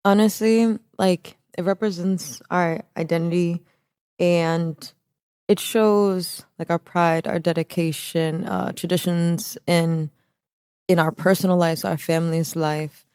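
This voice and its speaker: A young woman speaking as an interview guest about her business, animated and casual, often restarting her phrases. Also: on the low side, takes it slowly, smooth, no echo, studio quality. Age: young adult.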